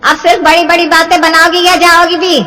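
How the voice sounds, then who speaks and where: A woman voicing a sharp-tongued older mother-in-law who nags and taunts sarcastically in a moral-story cartoon. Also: very high-pitched, flat delivery, fairly quick, soft background music, pitched above the performer's own voice. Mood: scornful. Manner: sarcastic, nagging. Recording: long hall-like reverb.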